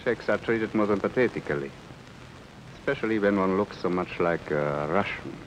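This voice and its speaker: A man's voice: sits low, normal speed, lightly acted, rough recording. An actor playing a sly, cheerful middle-aged male refugee con man, wheedling and teasing in a 1950s TV drama. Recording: old TV film sound with faint rain hiss.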